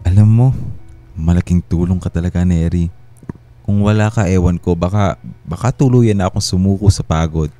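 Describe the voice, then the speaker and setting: A man's voice: low voice, normal speed, warm tone, soft background music, lightly acted. An actor playing a tired, dutiful husband and father in a Filipino radio drama, earnest and a little hesitant, with a Filipino accent. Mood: grateful, earnest. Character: tired, dutiful working husband and father, grateful, somewhat evasive.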